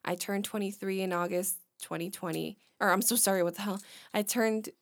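A woman in her twenties in casual chat on a podcast, talking in a rambling, halting way with many restarts. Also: mid-range voice, steady tone, no room sound, studio quality. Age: 20s.

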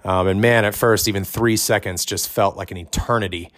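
Loud-voiced man coaching gym trainers. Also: fairly low pitch, fairly even intonation, brisk. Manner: conversational, many fillers, says his voice is loud. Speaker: fitness coach. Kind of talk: instructional podcast talk.

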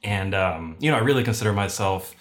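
A man who grew up in Venezuela, a podcast guest talking casually over a video call in a relaxed, rambling way. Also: fairly low pitch, fairly quick. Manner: relaxed, rambling with fillers and restarts.

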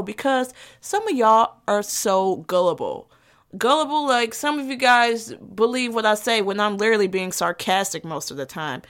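A woman's voice: on the high side, a bit bright. A woman vlogger with a lisp, ranting in a sarcastic, sassy way as she gives comic advice. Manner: sassy, sarcastic, heated rants. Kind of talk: comedic advice vlog.

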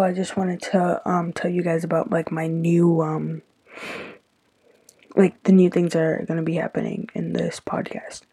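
A teenage girl hosting her own podcast, sounding stuffy and tired, rambling with lots of fillers in a soft, confiding way. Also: fairly low pitch, breathy edge, no room sound, poor audio. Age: teens. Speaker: podcast host. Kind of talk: casual solo podcast talk.

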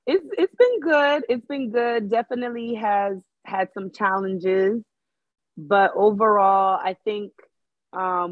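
A woman's voice: mid-range voice, no echo. A woman from the Dominican Republic, raised in Maryland, talking about hosting as a podcast guest in an earnest, thoughtful way with many fillers. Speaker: guest, Airbnb host. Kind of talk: casual podcast chat. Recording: tinny phone-line sound.

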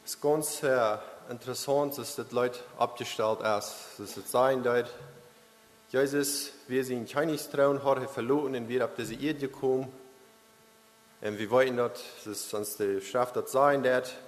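A man giving a Christmas sermon, reading verses and explaining them haltingly, with frequent hesitations. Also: medium pitch, fairly even intonation. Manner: halting, with many restarts and hesitations. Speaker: lay preacher. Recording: long reverb of a hall.

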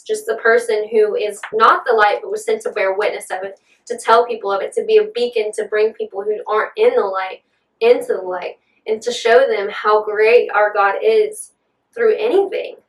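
A woman's voice: medium pitch, fairly even intonation, moderate pace, roomy sound. An earnest young woman in her twenties co-hosts a casual faith chat. She talks with bubbly enthusiasm and laughs often. She sits at some distance from the microphone. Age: 20s. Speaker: podcast co-host.

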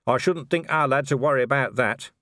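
A man's voice: medium pitch, brisk, sharp tone, dry recording, clean studio sound, voice pushed up, lightly acted. A man voicing a blunt, sceptical male commander in an audiobook, sardonic and exasperated in manner. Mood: dry, blunt. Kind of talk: audiobook character dialogue.

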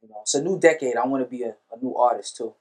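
A man's voice: medium pitch, very fast, darkish tone, slightly rough. A man, a rapper, talking about his music in a laid-back, easygoing way as a podcast guest. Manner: laid-back, easygoing, lots of "you know". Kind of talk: casual interview chat.

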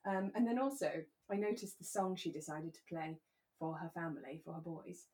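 A woman co-hosting a podcast, chatting casually about a radio drama in a giggly, halting, enthusiastic way. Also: fairly low pitch, mellow. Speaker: podcast co-host.

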